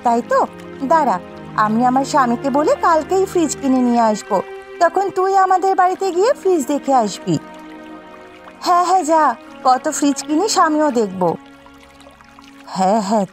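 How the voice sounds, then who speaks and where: A sharp-tongued village sister from a folk-tale cartoon acted by a woman. She quarrels and taunts in a very high-pitched voice over faint music. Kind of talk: Bengali cartoon dialogue dubbing.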